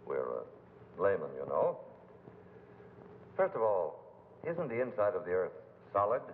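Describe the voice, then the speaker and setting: A man playing a skeptical, businesslike board member in 1950s film style, questioning in a measured, formal way. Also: huge pitch swings, slight background noise, heavily acted. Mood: skeptical, probing. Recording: boomy, tinny old film soundtrack, reverb.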